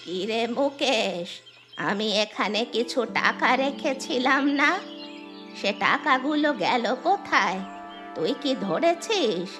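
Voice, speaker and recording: A woman playing a fretful, scolding village wife and mother in an animated story. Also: fairly high pitch, slow pace. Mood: suspicious. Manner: scolding, questioning. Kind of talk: animated story dialogue acting. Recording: music under the voice.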